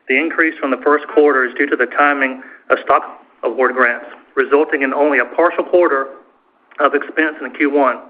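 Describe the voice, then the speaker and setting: Male finance executive, mid-pitched, scripted earnings-call read, thin telephone audio. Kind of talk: scripted corporate financial presentation. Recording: tinny, narrow phone-line conference call.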